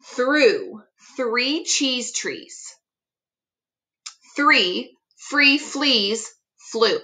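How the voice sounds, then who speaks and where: Bright-voiced woman reading a children's rhyme book. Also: on the high side, rough recording. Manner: deliberate, careful tongue-twister delivery. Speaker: school teacher reading aloud. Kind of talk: expressive read-aloud of a rhyming children's picture book. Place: small room at home, indoors.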